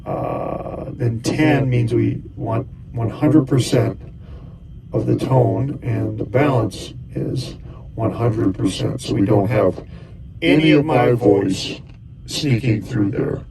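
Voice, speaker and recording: A man's voice: medium pitch, very animated, slow, gravelly, over a noisy crowd, rough recording. A man giving a casual step-by-step tutorial, thinking aloud as he presses buttons and talking in loose, rambling phrases. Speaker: costuming hobbyist giving a tutorial.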